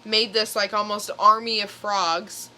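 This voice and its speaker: A woman co-hosting a podcast in casual chat, thinking out loud in a halting way. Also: mid-range voice, steady tone, a little hiss or hum. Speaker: podcast co-host. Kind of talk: casual comic book chat.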